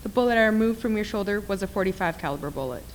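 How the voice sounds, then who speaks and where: Brisk, fast-talking doctor played by a woman. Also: medium pitch, animated, very noisy, close to an everyday voice. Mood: patient, firm. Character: calm, dismissive doctor reassuring a frightened patient. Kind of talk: radio play acting.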